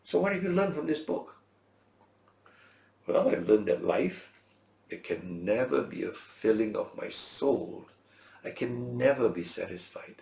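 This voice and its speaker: A man delivers a sermon-like Bible lesson in a gravelly, creaky voice of medium pitch. He speaks in emphatic, dramatic short phrases and puts rhetorical questions to his listeners. The sound is roomy, with a clear echo around the voice. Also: darkish tone. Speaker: preacher and Bible teacher.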